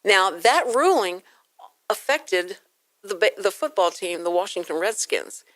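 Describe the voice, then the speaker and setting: A woman hosting a talk show in a casual, chatty way, telling stories in an animated manner. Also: medium pitch, a little slow, dry recording.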